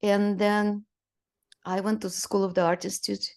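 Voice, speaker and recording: A woman's voice: mid-range voice, flat delivery, moderate pace. Woman in her sixties telling her story. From Romania. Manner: storytelling with fillers and light laughs. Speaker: guest artist. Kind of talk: conversational interview.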